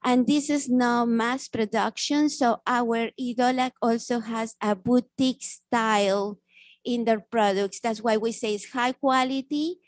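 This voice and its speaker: A woman from South America pitching her startup to judges, halting and repeating words as she answers. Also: fairly even intonation, dry recording.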